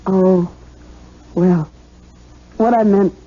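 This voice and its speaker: An actress playing an affectionate, anxious young newlywed wife in a 1970s radio drama, with an effusive, emotional delivery. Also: female voice, medium pitch, fairly even intonation, extremely slow, dark tone, slightly rough. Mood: happy, affectionate. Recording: dull lo-fi 1970s radio recording.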